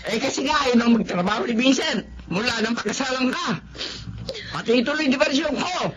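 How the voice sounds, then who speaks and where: A woman's voice: high voice, lively intonation, moderate pace. An actress playing a cruel, nagging older mother-in-law with a Filipino accent, scolding and sneering in a radio drama. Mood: bitter sneering.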